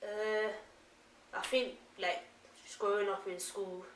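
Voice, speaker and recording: A woman's voice: medium pitch, level tone, slow, recorded from a distance. A woman chatting casually as an interview guest, using plain, informal words. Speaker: guest, author. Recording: echoey room, off mic, faint hiss.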